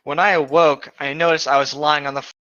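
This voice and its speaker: A man casually reading aloud and adding deadpan comments as a co-host, on a lo-fi microphone. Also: on the high side, quick pace, no echo.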